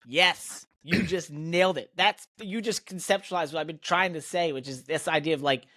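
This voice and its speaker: A man's voice: on the high side, moderate pace, sharp tone, no room sound, studio quality. An American man chatting casually as a motorsport podcast co-host, speaking in an emphatic, opinionated way. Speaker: podcast co-host and professional racing driver.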